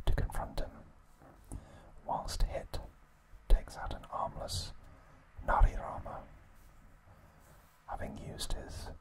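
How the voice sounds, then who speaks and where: A man hosting an ASMR video, giving a trivia recap in a soft, breathy whisper with deliberate phrasing and pauses. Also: low-quality recording.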